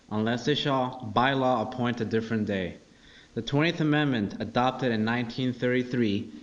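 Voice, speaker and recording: A man of medium pitch reads formal legal text aloud. The sound is dull, like a lo-fi conference link. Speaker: participant reader. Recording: dull videoconference audio.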